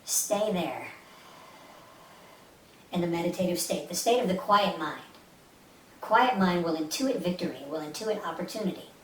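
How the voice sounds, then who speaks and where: Female meditation guide, soothing and instructive, slightly low register, recorded off-mic with light hiss. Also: animated, moderate pace, pauses often, fairly bright tone. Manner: soothing, instructive, repeats short phrases. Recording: roomy, distant mic with faint hiss.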